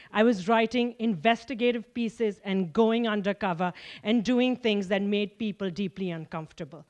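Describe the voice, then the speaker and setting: A woman in her forties from Pakistan speaks from a forum stage. She tells her story in a measured, earnest way and leans on rhetorical questions. Her voice sits a little high. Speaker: guest speaker, filmmaker. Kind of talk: storytelling keynote talk.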